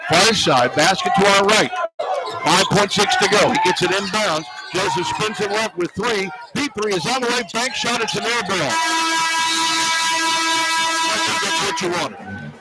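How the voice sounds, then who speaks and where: A man's voice: high-pitched, sing-song, unhurried, pauses often, loud music behind the voice, poor audio. A man calling live basketball play-by-play as a radio announcer.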